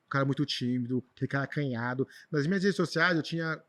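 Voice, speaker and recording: A man's voice: mid-range voice, fast talker, fairly bright tone. A Brazilian man telling personal stories in an informal, emphatic way as a podcast guest, often repeating words for stress. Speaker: podcast guest, traveler and speaker. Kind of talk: conversational personal storytelling.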